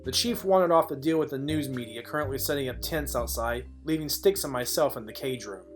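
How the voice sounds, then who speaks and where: Male actor as hard-boiled noir gumshoe: dry, sardonic narration, mid-pitched voice, music underneath. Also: brisk, close to an everyday voice. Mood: wry narrating. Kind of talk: film noir audio play narration.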